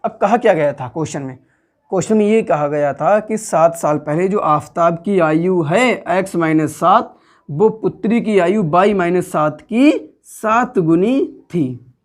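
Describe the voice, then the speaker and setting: A man with a Hindi accent teaching maths step by step, asking the class questions and answering them himself, patiently repeating each step. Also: high voice. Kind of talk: classroom lesson, explaining step by step.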